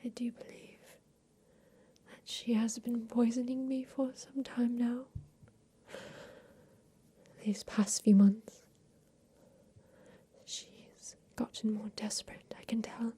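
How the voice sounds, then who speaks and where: A woman playing a tender, ailing inventor of a mechanical creature in a soft, whispered ASMR roleplay. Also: fairly high pitch, level tone, slightly rough, heavily acted. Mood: grave, reassuring. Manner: slow, gentle, soothing, with pauses.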